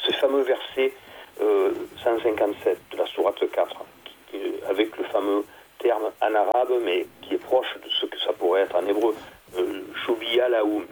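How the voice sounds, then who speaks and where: A man, a theology guest, explaining at length in a halting conversational way, with many fillers and restarts. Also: fairly low pitch, a little hiss or hum, rough recording.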